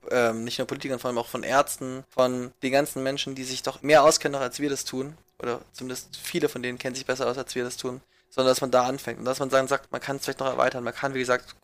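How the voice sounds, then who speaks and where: A man's voice: mid-range voice, a bit bright. A young man from the Munich area, a childcare worker, who speaks earnestly in a reflective conversation and often searches for his words.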